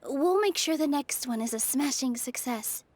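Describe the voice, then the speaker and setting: An actress playing a gentle, idealistic young dancer in a video game, speaking in a sweet, earnest way. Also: female voice, high-pitched, animated, moderate pace, dry recording. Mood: anxious, caring.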